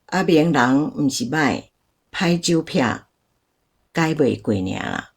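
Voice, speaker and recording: An actress with a Taiwanese accent playing a calm, resigned older woman in a radio drama. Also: deep voice, slow pace, creaky, slightly rough, heavily acted. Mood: gentle resignation. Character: calm, resigned older woman, a former nun and lost sweetheart.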